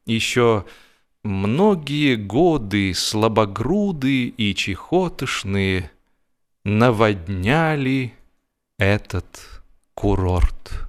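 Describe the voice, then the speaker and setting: A man's voice: lowish voice, lively intonation, extremely slow. A man reading humorous fiction as an audiobook narrator, with theatrical, wry delivery and varied emphasis.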